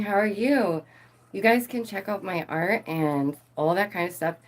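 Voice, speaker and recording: A woman with a lower voice hosting her channel, chatting casually to the camera, bubbly and laughing often. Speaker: channel host.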